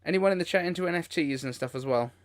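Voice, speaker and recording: A man's voice: fairly high pitch, quick pace. A man hosting a casual livestream, reacting in an informal, incredulous way as he comments. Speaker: livestream host.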